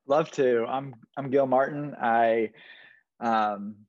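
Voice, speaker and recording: A man's voice: mid-range voice, takes it slowly, darkish tone, no room sound, dull audio. A man who works as a youth pastor, introducing himself in a friendly, easygoing way and laughing often. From Jacksonville, Florida. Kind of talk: casual self-introduction.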